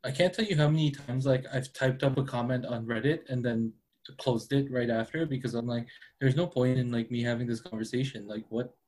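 A man's voice: mid-range voice, fairly even intonation, brisk, darkish tone, rough recording. A man chatting casually as a podcast guest, laid-back and full of "like". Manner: laid-back, heavy use of "like". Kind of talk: casual chat.

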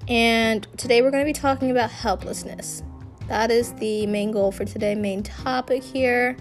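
Raspy-voiced woman podcaster, candid and rambling. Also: a little high, steady tone, normal speed, faint music behind. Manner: candid, rambling, with frequent restarts. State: raspy from feeling unwell. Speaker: podcast host. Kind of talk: casual personal podcast monologue.

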